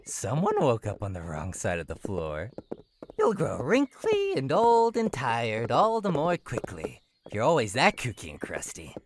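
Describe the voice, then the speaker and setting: A woman voicing a mischievous, taunting clown-like jester in a horror game, in a theatrical, teasing singsong with drawn-out words. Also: a bass voice, wildly swooping pitch, slight background noise, exaggerated voice. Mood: mock sympathy. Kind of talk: visual novel horror game character acting.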